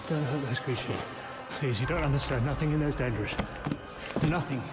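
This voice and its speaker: An actor playing an awkward, earnest young wizard, insistent in manner, in a film scene. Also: male voice, medium pitch, fairly quick, lightly acted. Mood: pleading. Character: awkward, earnest young British wizard who protects creatures. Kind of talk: film dialogue in a game cutscene. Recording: film score behind the voice.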